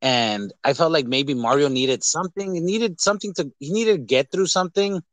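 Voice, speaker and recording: A man's voice: fairly high pitch, brisk, no room sound. A man co-hosting a podcast, talking casually about films in a rambling way and acting out quoted lines. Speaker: podcast co-host. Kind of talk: casual movie discussion.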